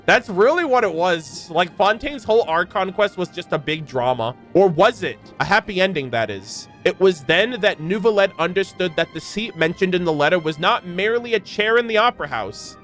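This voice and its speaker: A man with a fairly high voice talks as an online reaction creator over background music. He moves between reading lore aloud and loose, rambling commentary, given to hyperbole and casual swearing. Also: animated, normal speed, hardly any pauses, a bit bright.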